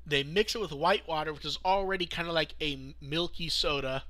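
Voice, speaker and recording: Bright-voiced man hosting a podcast. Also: on the high side, a little fast. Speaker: podcast host. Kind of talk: casual podcast discussion reading out news.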